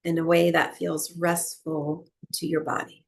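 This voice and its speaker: A woman teaching breathwork, guiding a meditation in short, unhurried phrases with long pauses between them. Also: deep voice, level tone, creaky. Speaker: breathwork and yoga nidra teacher. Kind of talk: guided meditation, slow soothing instruction.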